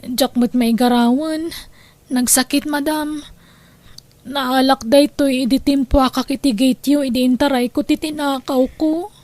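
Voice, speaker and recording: A woman's voice: sits high, fairly even intonation, a little slow, darkish tone, dry recording. A woman playing a remorseful, injured young thief in a radio drama, plaintive and emotive, with an Ilocano Filipino accent. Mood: pleading, remorseful.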